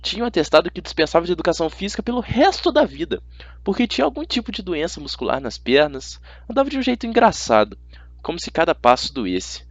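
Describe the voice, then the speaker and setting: A man with a Brazilian accent reading a first-person youth fantasy novel as an amateur audiobook narrator, in an expressive, conversational storytelling way. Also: fairly high pitch, fast, no room sound.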